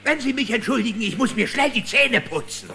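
An actor playing a scheming, mocking sheriff villain with a creaky voice in a cartoon audio play. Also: male voice, very high voice, lively intonation, a little fast, pushed and bright, a little hiss or hum, exaggerated voice. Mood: falsely polite. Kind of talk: cartoon radio play acting in German.

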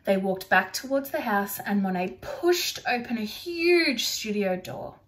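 A young woman reads a children's storybook aloud. Her pace is slow and careful, with an expressive storytelling delivery and a middle-range pitch. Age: young adult. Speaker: storybook reader for primary children. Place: small furnished room indoors.

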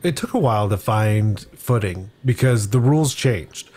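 A man's voice: on the low side, lively intonation, normal speed, background noise. A man playing a tabletop game as a guest, telling his character's story in a thoughtful, step-by-step way. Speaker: guest player on a tabletop podcast. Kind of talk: improvised, reflective tabletop storytelling.

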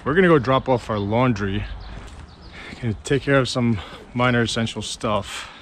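A man's voice: mid-range voice, moderate pace, a bit bright, slight background noise. A man talking to his viewers in a casual vlog, earnest and reflective, partly outdoors on a hike. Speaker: vlogger. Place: mix of indoors and outdoors on a hike.

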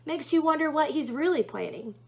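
Casting: sarcastic male serpent demon performed by a woman, fairly high voice, casual storybook troublemaker. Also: animated, brisk, creaky, darkish tone. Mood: wry. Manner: sardonic, casual, musing. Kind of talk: storybook read-aloud character voice.

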